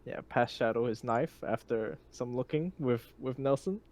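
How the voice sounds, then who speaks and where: A man's voice: mid-range voice, moderate pace, a little mellow. Male gamer, middle register, casual conversational play at a tabletop session. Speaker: tabletop game player. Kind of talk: casual game chat.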